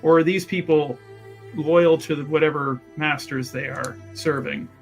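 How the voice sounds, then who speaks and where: A man's voice: fairly high pitch, steady tone, measured pace, soft background music. A man talking casually as a player in a tabletop roleplaying game, wordy and thinking aloud as he rephrases himself.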